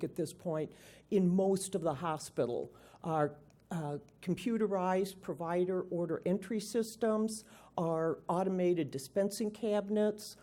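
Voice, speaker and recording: A woman with a loud voice presenting a conference lecture in a lively, informal way, with frequent fillers. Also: medium pitch. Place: conference room.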